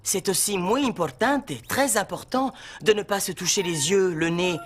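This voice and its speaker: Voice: medium pitch, expressive, normal speed, close to an everyday voice. Patient cartoon handyman, reassuring teacher, acted. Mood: patient, instructive. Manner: reassuring, instructive, sprinkles in Spanish words. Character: kind, patient handyman teaching children about safety. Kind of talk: children's cartoon dubbing. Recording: music behind the voice.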